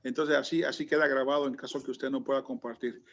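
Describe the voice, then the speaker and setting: A man's voice: mid-range voice, fairly even intonation, fast talker, fairly bright tone. A man teaching a Bible class over a video call, chatty, restarting his sentences a lot. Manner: chatty, many restarts and fillers. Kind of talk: online class, lecturing and calling on students. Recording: muffled lo-fi video call audio.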